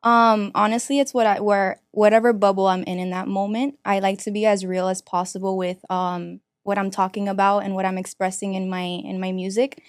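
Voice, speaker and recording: A woman's voice: mid-range voice, level tone, a little fast, few pauses, no echo. A young woman from Miami, a singer-songwriter guest, answering interview questions casually in a studio with many fillers and restarts. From Miami, United States. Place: podcast studio.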